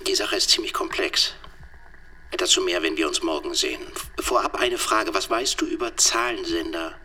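An actor playing a hard-pressed investigator in a radio play, brisk and businesslike. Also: male voice, low voice, bright, forceful tone, exaggerated voice. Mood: urgent, wry. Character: hard-pressed male investigator stuck on a cold case.

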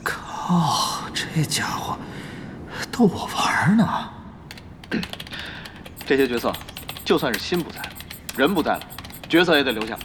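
An actor playing an exasperated, excitable young male guild leader in an audio drama, grumbling and easily flustered. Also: mid-range voice, unhurried, pauses often, in a whisper, lightly acted. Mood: reluctant. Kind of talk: audio drama acting, dialogue and inner monologue. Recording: music bed under dialogue.

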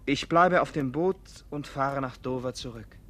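An actor in a German radio play voicing a polite, conflicted English gentleman who speaks earnestly and hesitantly. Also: medium pitch, moderate pace, natural voice. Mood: troubled, confessing. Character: conflicted English gentleman, secretly afraid, tenderly flirting.

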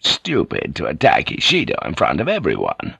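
A man voicing a sour, insolent and vain samurai lord with a gruff manner in an audiobook. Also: rumbling low, wildly swooping pitch, moderate pace, sharp tone, no echo, voice pushed down, full character voice. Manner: gruff, sour, interjecting grunts. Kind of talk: audiobook character acting.

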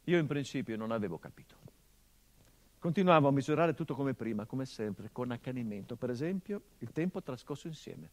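A middle-aged actor playing a grieving son whose father was murdered, speaking a theatrical monologue in a measured, grave, introspective way. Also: mid-range voice, animated, lightly acted.

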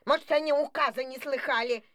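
A woman voicing a sly, flattering female fox in an audio fable, coaxing with drawn-out, sugary words. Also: high-pitched, very noisy, natural voice. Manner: coaxing, drawn-out, honeyed delivery.

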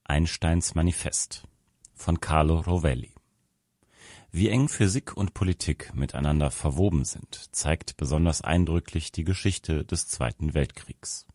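A man with an extremely low voice narrates a written article. His delivery is measured and formal, recorded close and dry with no room sound. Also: darkish tone, no echo. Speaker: narrator reading a magazine article. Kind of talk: scripted narration.

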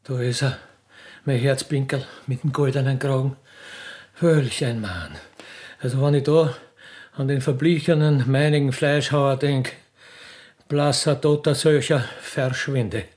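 A man voicing a mature, rich butcher's widow in a comic radio play, wooing in folksy, halting, insistent Viennese. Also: mid-range voice, darkish tone, close to an everyday voice. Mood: smitten, scheming.

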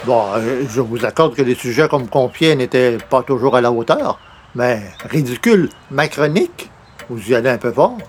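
A man's voice: mid-range voice, lively intonation, normal speed, slight background noise, natural voice. A man playing a self-important would-be writer and columnist in an old-time radio comedy, theatrical and grumbling. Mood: indignant, grumbling. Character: pompous, wounded would-be great writer and newspaper columnist.

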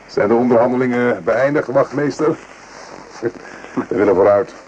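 A man's voice: medium pitch, moderate pace, darkish tone, lo-fi sound, natural voice. A man acting a down-to-earth, wry corporal fond of his comrade, in a Dutch radio play. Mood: wry, impatient. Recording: noisy old radio drama recording.

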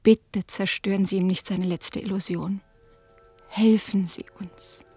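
A husky, pleading spinster daughter. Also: female voice, mid-range voice, steady tone, a little slow, dry recording, faint music behind. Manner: confessional, pleading, often hushed. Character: unmarried middle-aged daughter, ashamed and pleading. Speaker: the collector's daughter. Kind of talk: radio drama acting.

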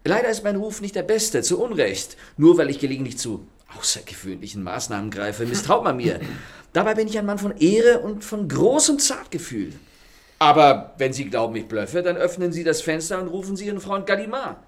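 An actor playing a charming, ironic gentleman thief in a radio play, speaking with smooth, courteous mockery. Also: on the high side, sing-song, moderate pace. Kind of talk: radio drama acting. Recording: studio radio play with faint music.

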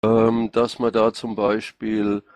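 A middle-aged man with a Rhine-Hessian accent, part Hessian and part Palatine, speaking informally and haltingly as a political candidate answering questions. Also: fairly low pitch, completely flat delivery, a little mellow, no echo. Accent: Rhine-Hessian, mixing Hessian and Palatine. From Rhine-Hesse, Germany. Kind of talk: informal spontaneous self-presentation. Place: online voice chat.

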